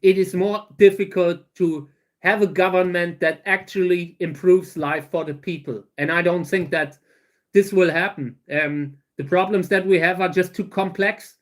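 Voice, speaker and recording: Halting German-accented man, high voice. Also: steady tone. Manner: halting, many restarts and fillers. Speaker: livestream host. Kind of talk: casual livestream Q&A.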